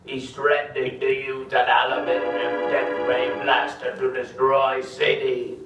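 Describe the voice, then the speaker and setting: Assistant played by a man: utterly flat delivery, comic stage play, echoey, faint music. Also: mid-range voice, measured pace, close to an everyday voice. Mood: matter-of-fact. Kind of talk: live comedy radio play. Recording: echoey, distant stage recording.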